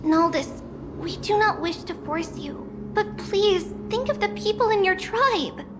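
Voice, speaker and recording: A woman voicing an earnest, polite childlike high priestess girl in a video game, with a formal way of speaking. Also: lively intonation, a little slow. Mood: earnest, pleading. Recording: loud game music underneath.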